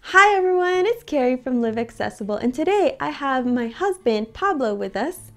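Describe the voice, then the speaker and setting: A woman hosting her own video channel, opening the video warmly and asking interview questions. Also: sits high, very animated, moderate pace, faint music behind. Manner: upbeat, welcoming presenter. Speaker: channel host. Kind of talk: friendly video intro and interviewing.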